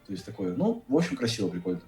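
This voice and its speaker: Fast-talking blunt young man, echoey room. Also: fairly low pitch, very animated, darkish tone, faint music behind. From Saint Petersburg, Russia. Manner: quick, blunt, swears casually. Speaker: university economics student. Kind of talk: casual video chat. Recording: echoey room, far from the mic.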